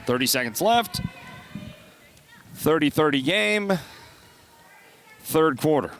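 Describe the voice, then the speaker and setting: A man's voice: fairly high pitch, animated, slow, fairly bright tone. Congested man calling a basketball game. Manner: chatty, rambling asides between plays. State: congested, voice partly lost. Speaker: play-by-play announcer. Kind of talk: basketball play-by-play commentary. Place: high school gym.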